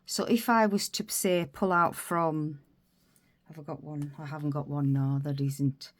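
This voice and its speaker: Woman, low voice, sniffly with a cold, chatty rambling crochet tutorial. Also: fairly even intonation, a little slow. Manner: chatty, rambling, self-interrupting. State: stuffy with a cold. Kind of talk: casual crafting tutorial.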